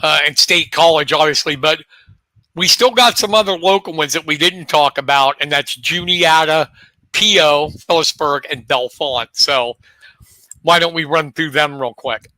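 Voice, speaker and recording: A man co-hosting a local high school sports talk show, chatting in a relaxed way and leading the rundown of teams. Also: normal speed, dry recording, poor audio.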